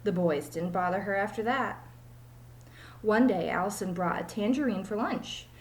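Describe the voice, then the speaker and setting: A woman's voice: medium pitch, moderate pace. A woman reads a children's storybook aloud like a teacher. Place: small home room, indoors. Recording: echoey room, webcam mic.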